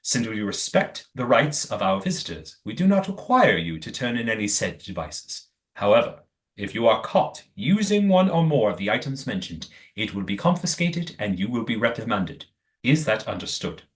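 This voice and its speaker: A man's voice: fairly low pitch, big pitch swings, moderate pace. A man playing a courteous master of a secretive old manor in an audio play, with formal, precise wording. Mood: formal, firm.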